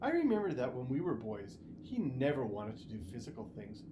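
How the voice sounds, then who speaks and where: A storybook reader playing a kindly, reassuring father who chats gently about his own youth. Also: mid-range voice, sing-song, fairly quick, noisy background, close to an everyday voice.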